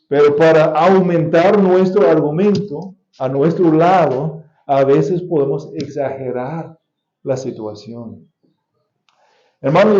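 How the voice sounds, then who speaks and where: Guy preaching, high-ish voice but mellow, slow and careful, echoey church, kinda dull sound. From United States. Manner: deliberate preaching with repeated key phrases and occasional restarts. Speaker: pastor. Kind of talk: sermon. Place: church sanctuary.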